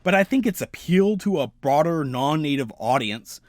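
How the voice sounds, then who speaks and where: A man narrating a video essay in a measured, analytical way. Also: on the high side, lively intonation, no room sound. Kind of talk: scripted narration.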